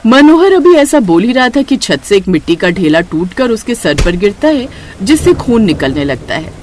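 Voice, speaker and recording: A woman narrates a scripted family moral story. She works as the storyteller, at an average female pitch. There is some background noise in the recording. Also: big pitch swings, moderate pace, darkish tone. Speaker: storyteller narrating and voicing characters.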